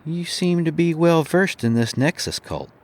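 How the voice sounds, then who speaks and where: A man's voice: a little high, normal speed, darkish tone, dry recording, natural voice. An actor playing a sarcastic, wisecracking male guest in a horror audio drama. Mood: incredulous. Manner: sarcastic, joking. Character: flippant, wisecracking horny young male guest, Alec.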